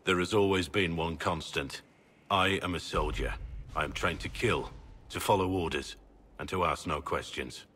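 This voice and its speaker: An actor playing a gruff, weary middle-aged soldier in a video game, grave, measured and earnest, full of remorse and resolve. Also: male voice, low voice, pushed and bright, slight background noise. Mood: resolute. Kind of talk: dramatic video game acting.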